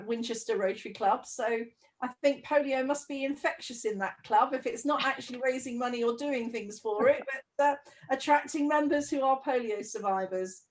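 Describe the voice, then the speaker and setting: A woman hosting a webinar, moderating the discussion and introducing a panel speaker. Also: a little high. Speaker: webinar host.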